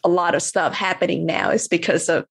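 A woman's voice: on the low side, fairly quick, gravelly, husky, no echo. A woman from Vietnam, a coach and podcast guest, talking in a rambling, casual way with many fillers and restarts. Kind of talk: casual interview chat.

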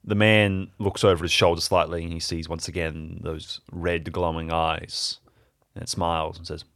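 A man narrating an improvised audio drama casually and haltingly, as one of its players. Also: low-pitched, flat delivery, vocal fry, a bit bright.

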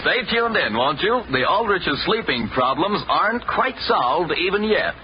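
Lively male old-time radio announcer. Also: high voice, a little slow, over loud music. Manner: polished, friendly sales delivery. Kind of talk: 1940s radio advertising announcer. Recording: muffled old radio recording.

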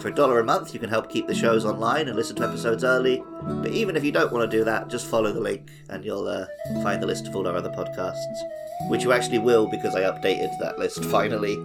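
A man's voice: fairly low pitch, quick pace. A man hosting a podcast in a casual, chatty style with a wry, joking manner. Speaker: podcast host. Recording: music behind the voice. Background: plucked guitar music.

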